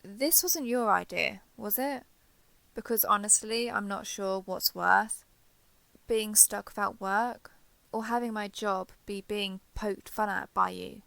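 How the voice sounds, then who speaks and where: Woman acting a shy, guarded ex-villain, creaky-voiced. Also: mid-range voice, sharp tone, no echo, exaggerated voice. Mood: grudging, defensive. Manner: halting, defensive, awkwardly sincere. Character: shy, guarded former villain woman turned ally, awkward and flustered. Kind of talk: intimate romance audio roleplay.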